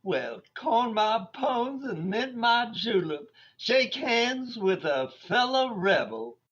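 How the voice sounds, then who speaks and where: A man's voice: very high-pitched, sing-song, slow pace, fairly bright tone, slightly rough, heavily acted. An actor playing a brash, flirtatious, conceited male bandleader with a Southern accent in a radio comedy. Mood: flirtatious swagger. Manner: jive-talking, cocky. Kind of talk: 1940s radio comedy acting. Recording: dull online radio-play recording.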